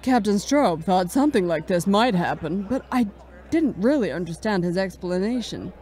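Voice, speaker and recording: A woman playing a brisk, sceptical, no-nonsense adventuress in a pulp sci-fi audio drama. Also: mid-range voice, lively intonation, warm tone, lightly acted. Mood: wry narration. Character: brisk, sceptical adventuress Vale, telling her own space tale.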